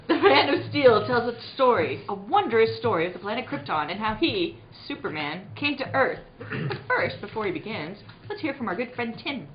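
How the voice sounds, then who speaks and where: A woman's voice: a little high, big pitch swings, moderate pace. A woman narrating a radio play reading in a playful announcer style, giggly and prone to ad-libbed asides. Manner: giggly, breaking into laughter while reading. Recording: echoey room, muffled, noticeable background noise.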